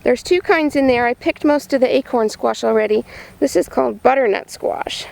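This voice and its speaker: A woman's voice: high voice, moderate pace, vocal fry, darkish tone. A woman farmer giving an upbeat show-and-tell tour for preschoolers, explaining things in simple words. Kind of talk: simple child-directed show-and-tell narration. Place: vegetable farm, in growing tunnels and outdoor beds.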